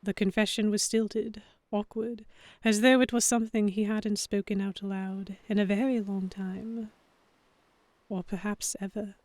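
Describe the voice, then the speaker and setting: A mid-range female voice from an actress narrates as the earnest heroine of a historical audio drama. She is measured, introspective and self-conscious. The sound is very dry, with no room echo. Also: medium pitch, level tone, darkish tone, lightly acted. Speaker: narrator and character. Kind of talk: scripted first-person audio drama narration.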